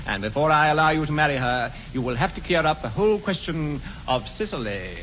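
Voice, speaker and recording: A bright, forceful voice on a muffled old radio recording: a suave upper-class English bachelor. He is arch and teasing, with a very lively melody, as in 1940s radio comedy. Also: fairly high pitch, a little fast, slight background noise. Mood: teasing disapproval. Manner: arch, crisply insistent.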